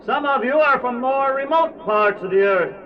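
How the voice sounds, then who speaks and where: An actor playing an earnest, fervent preacher warning of doom in a solemn, declamatory Bible radio drama. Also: male voice, very high-pitched, expressive, normal speed. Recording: muffled old cassette, distant, faint hiss.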